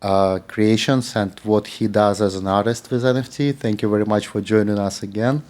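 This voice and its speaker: Lower-voiced hesitant talk show host. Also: male voice, fairly even intonation, normal speed, darkish tone. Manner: hesitant, many fillers. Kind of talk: interview hosting.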